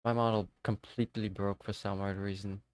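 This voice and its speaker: Chatty male streamer, smooth dry voice. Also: lowish voice, flat delivery, brisk, no echo. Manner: chatty, talks to himself and to chat viewers. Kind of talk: casual live-stream chat while gaming.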